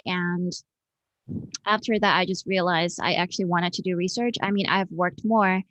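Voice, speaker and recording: A woman's voice: on the low side, steady tone, moderate pace, fairly bright tone, no room sound. A woman from Hong Kong answering as an interview guest in a reflective, informal, conversational way over an online call. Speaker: interview guest, architect and researcher. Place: remote online video call.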